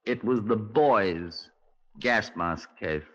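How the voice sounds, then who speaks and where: A man's voice: on the low side, slow pace, fairly bright tone. A man playing a courteous but insistent detective who questions pointedly in a 1950s radio drama. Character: probing, courteous Scotland Yard detective, quietly insistent. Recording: dull 1950s radio recording.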